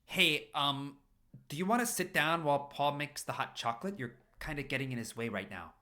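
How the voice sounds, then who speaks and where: Nerdy young man played by a male reader, nervous, soft-hearted, hesitant storybook character, bright raised voice. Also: on the high side, expressive, a little fast, pitched above the performer's own voice. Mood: awkward. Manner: hesitant, stammering.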